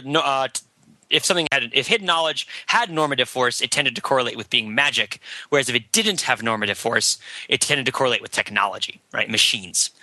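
A man with a hoarse voice talking through ideas in a rambling, self-deprecating way on a casual podcast, with many restarts. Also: medium pitch, bright, forceful tone, no echo. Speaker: podcast panelist.

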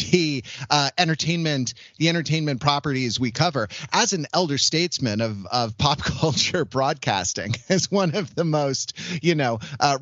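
A man hosting a podcast in casual conversation, wordy and halting, often chuckling as he talks. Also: medium pitch, a bit bright, dry recording, dull audio. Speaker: podcast host.

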